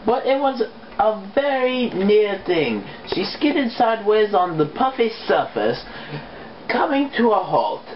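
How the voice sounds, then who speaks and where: Slow, expressive amateur story reader. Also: medium pitch. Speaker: co-reader of fan fiction. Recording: dull lo-fi mic with background noise.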